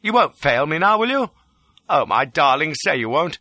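A man's voice: fairly high pitch, very animated, moderate pace, sharp tone, no room sound, clean studio sound, voice pushed up, exaggerated voice. A man voicing a desperate, wheedling English rogue who pleads in an overwrought, exclamatory way, as in a dramatic audiobook. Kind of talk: dramatic audiobook character acting.

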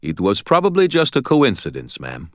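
A man voicing a big, calm young Russian rescuer in an audiobook, with a booming voice and a Russian accent. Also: moderate pace, no echo, studio quality. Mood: calm, polite. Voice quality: deep, booming.

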